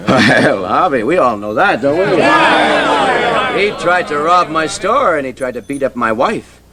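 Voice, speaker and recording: An actor playing a swaggering, bullying mob leader in a Western town, goading in folksy rural speech, on a noisy old drama soundtrack. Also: male voice, mid-range voice, very animated, measured pace. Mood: needling.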